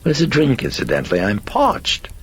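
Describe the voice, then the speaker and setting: High swoopy hoarse british demon, manic, cheeky, horror audiobook style. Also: male voice, huge pitch swings, moderate pace, slight background noise. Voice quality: hoarse, shrieking, rasping. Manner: flamboyant, giddy, swooping.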